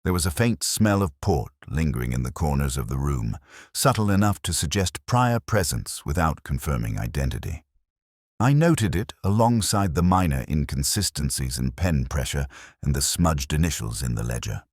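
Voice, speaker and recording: A man playing a brooding, intellectual police detective, narrating a mystery story in a measured, contemplative, literary manner. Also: a bass voice, big pitch swings, husky, dry recording, studio quality, heavily acted. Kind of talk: scripted first-person detective story narration.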